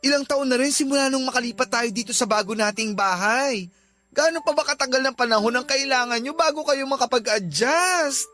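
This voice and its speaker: A woman plays an exasperated adult child in a radio drama, scolding an aging parent. The voice is high and piercingly bright, nagging away over faint background music. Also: natural voice. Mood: firm, pleading. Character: irritated adult child scolding an elderly mother. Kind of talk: Tagalog radio drama acting.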